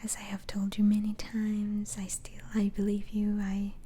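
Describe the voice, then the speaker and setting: A woman whispering softly in a slow, hesitant, soothing ASMR roleplay as a gentle, reassuring doctor. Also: medium pitch, monotone. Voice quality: soft, breathy whisper.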